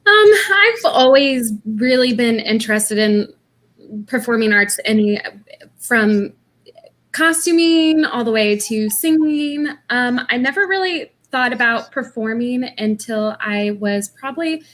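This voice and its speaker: A woman's voice: fairly high pitch, animated, measured pace, a bit bright. A woman from Missouri with a Missouri accent, answering interview questions over a video call, hesitant and full of ums. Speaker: interview guest, actress.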